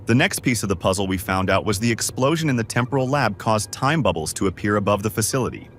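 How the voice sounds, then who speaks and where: A man's voice: on the low side, expressive, fast talker, fairly bright tone. A man playing a courteous, methodical crew brother in a science fiction fan audio drama. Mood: explanatory. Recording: faint background noise.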